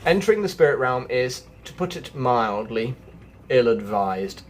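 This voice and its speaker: A man reading out a haughty, sceptical video game character in formal, measured, disdainful phrases. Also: medium pitch, very animated. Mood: grudging disdain. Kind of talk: read-out of game quest dialogue.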